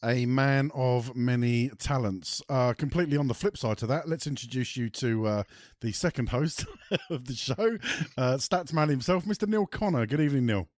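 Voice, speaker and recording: A man's voice: medium pitch, moderate pace, darkish tone, dry recording, studio quality. A man hosting a casual podcast, rambling with ums and restarts while he has a cold. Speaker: podcast host. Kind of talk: casual chat.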